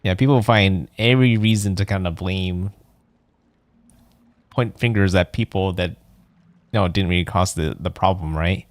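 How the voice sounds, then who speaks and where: A man's voice: deep voice, measured pace, long pauses, no echo. A man giving casual, reactive, rambling live commentary while streaming a game.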